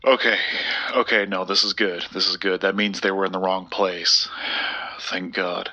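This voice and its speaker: An actor playing an obsessive, agitated man in an audio drama, speaking urgently and haltingly, repeating himself. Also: deep voice, level tone, bright, forceful tone. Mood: relieved.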